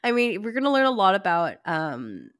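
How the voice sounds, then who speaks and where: A woman hosting a casual podcast, her voice hoarse and raspy from a cold, bubbly and gushing as she talks. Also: mid-range voice, very animated, moderate pace, a bit bright, no echo, studio quality. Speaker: podcast host.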